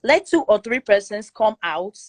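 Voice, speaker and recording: A woman's voice: mid-range voice, sing-song, normal speed, dry recording. Emphatic Nigerian woman, dry close sound. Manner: emphatic, repeats points for stress. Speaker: guest speaker in a live audio chat room. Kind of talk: opinionated casual commentary.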